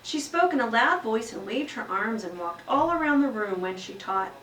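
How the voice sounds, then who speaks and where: A woman voicing a small, earnest pet hamster who tells his classroom story with expressive, emphatic delivery. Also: on the high side, normal speed. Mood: earnest, content. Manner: expressive, exclaiming, emphatic read-aloud delivery. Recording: roomy echo, distant mic, faint noise.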